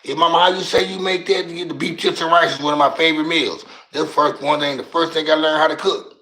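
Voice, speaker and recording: A middle-aged man with a raspy voice and a Louisiana accent, chatting on his livestream in slangy, rambling talk and often tripping over his words. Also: fairly high pitch, steady tone, fast talker, bright, forceful tone, echoey room, low-quality recording. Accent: Louisiana Southern US. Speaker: livestream host. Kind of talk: casual livestream chat.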